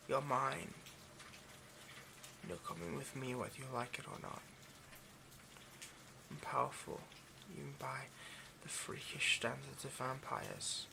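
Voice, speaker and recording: Guy doing a creepy obsessed vampire asmr, slow n croaky, bossy, half whispering, noisy audio. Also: medium pitch. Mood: menacing, commanding. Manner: slow, hushed, domineering, often slipping into whisper. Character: possessive, condescending rich male vampire, obsessed captor. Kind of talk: intimate ASMR roleplay acting.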